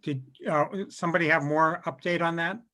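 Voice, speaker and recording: Higher-pitched man moderating casually. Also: steady tone, a little fast, bright, forceful tone. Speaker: meeting moderator. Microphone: video call microphone.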